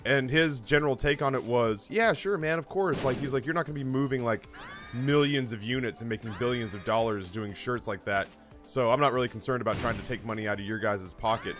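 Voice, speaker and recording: A man around his thirties tells personal stories on a livestream in a casual, comedic, animated way, with profanity and self-deprecation. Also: medium pitch, brisk. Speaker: live streamer telling personal stories. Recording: music behind the voice. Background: background music.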